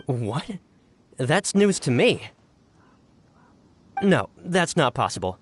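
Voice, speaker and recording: A man's voice: on the high side, big pitch swings, slow pace, slight background noise. A man playing an earnest, deferential subordinate agent in a video game, flustered and emphatic. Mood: flustered, defensive.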